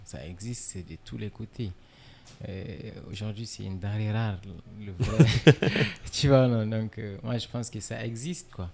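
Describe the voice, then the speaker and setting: A man from Niger, a musician guest in a casual interview, relaxed and filler-heavy, dropping in English words. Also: fairly low pitch, unhurried, vocal fry, a crowd in the background.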